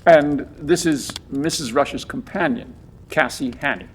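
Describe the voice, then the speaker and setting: A man's voice: on the high side, a little slow, a little hiss or hum, natural voice. A man playing an earnest, deferential and rather agitated police inspector in an audio drama. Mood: businesslike. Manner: emphatic, deferential. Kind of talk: audio theatre acting.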